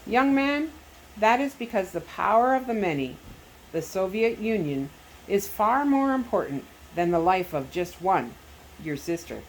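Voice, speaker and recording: A woman's voice: medium pitch, big pitch swings, unhurried, a little hiss or hum, low-quality recording. A woman voicing a menacing, crafty male Soviet agent who talks down in a taunting, wicked manner. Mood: cold threat.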